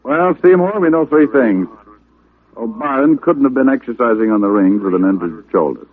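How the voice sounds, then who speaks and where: An actor with an American accent playing a wry, confident private detective in an old-time radio drama, talking briskly and sardonically. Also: male voice, mid-range voice, animated, breathy edge. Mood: confident narrating. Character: wisecracking, confident male private detective narrating his case. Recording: noisy, tinny old radio recording.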